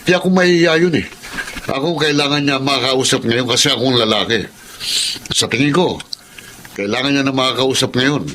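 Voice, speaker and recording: Man acting bright-voiced, gossipy Filipino tippler. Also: medium pitch, normal speed, pauses often, slight background noise, natural voice. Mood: earnest. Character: gossipy neighborhood drunkard, earnest and devoted. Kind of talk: Filipino radio drama acting.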